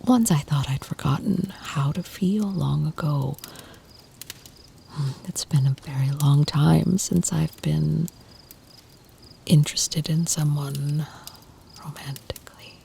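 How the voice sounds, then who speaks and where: A woman voicing an ancient, tender and protective female vampire in an intimate ASMR roleplay, speaking softly, half in whispers, with formal, deliberate phrasing. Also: low voice, gravelly, a little hiss or hum, full character voice. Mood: tender, confessional.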